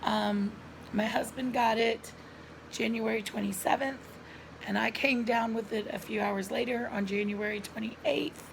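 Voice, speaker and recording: Hoarse middle-aged lady vlogging at home, raspy fry, coughing, chatty and earnest, camera mic. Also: a little high, fairly even intonation, measured pace, noisy background. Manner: earnest, chatty, addresses viewers directly. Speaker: lifestyle vlogger. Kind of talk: casual personal storytelling to camera.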